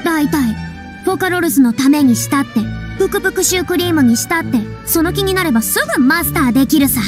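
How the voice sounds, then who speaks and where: Casting: a prickly former idol in an anime game, high young female voice, proud and defensive, clear music bed. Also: animated, fast. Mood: indignant. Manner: haughty, prickly, boyish phrasing.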